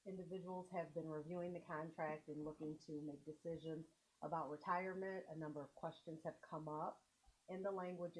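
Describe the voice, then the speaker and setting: A woman presenting to a school board, explaining contract details in a measured way. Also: deep voice, darkish tone, poor audio. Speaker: district administrator.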